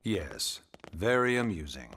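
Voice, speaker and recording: A man playing a wry, formal, gently pushy government secretary in a video game cutscene, courteous and smug. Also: deep voice, very animated, measured pace, breathy edge, full character voice. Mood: polite, dry. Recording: loud music behind the voice.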